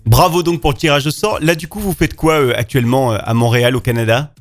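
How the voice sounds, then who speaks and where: A male interview host speaks very quickly in a medium-pitched voice. His delivery is friendly and presenter-like as he welcomes and questions a guest. There is light music underneath. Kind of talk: friendly radio-style interview hosting.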